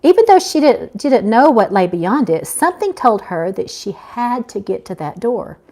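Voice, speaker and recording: A woman author reading her own novel aloud in expressive scripted narration. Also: mid-range voice, moderate pace.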